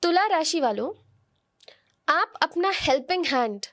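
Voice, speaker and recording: Woman, notably high voice. Conversational oracle-reader, instructive, speaks directly to the listener. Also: lively intonation, unhurried, a bit bright. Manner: instructive, addresses listeners directly, uses rhetorical questions and tag questions. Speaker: oracle card reader, podcast guest giving horoscope guidance. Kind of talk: conversational spiritual guidance.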